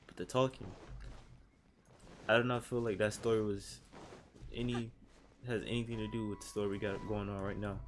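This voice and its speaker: A man giving casual gameplay commentary, talking to himself in slang. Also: fairly low pitch. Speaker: gaming streamer. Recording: game music behind the voice. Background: video game music.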